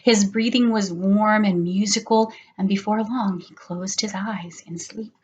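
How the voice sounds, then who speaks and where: A middle-aged woman reads a storybook aloud for children. Her delivery is expressive and deliberate, with a medium-pitched voice that suits a storytelling session. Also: dull audio.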